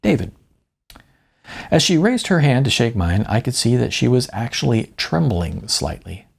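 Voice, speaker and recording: A man's voice: medium pitch, very animated, moderate pace, vocal fry, slightly rough. A man reading his own novel as an audiobook in a wry, conversational first-person manner. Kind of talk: first-person audiobook narration with acted dialogue.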